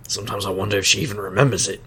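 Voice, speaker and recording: An actor playing a wry, stubborn street magician detective in an audio drama, with a gravelly, sardonic delivery. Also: male voice, lowish voice, steady tone, racing, a bit bright, natural voice. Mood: storytelling. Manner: sardonic, wisecracking. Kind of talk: audio drama acting and noir-like narration.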